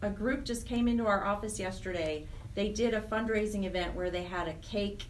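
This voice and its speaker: A woman's voice: mid-range voice, brisk, recorded from a distance, slight background noise. A woman speaking conversationally and earnestly as a nonprofit director being interviewed, stressing points by repeating words. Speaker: nonprofit program director, radio interview guest.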